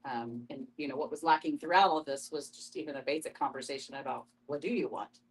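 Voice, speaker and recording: A woman's voice: low-pitched, fast talker, rough recording. A woman commenting as a participant in a casual group discussion.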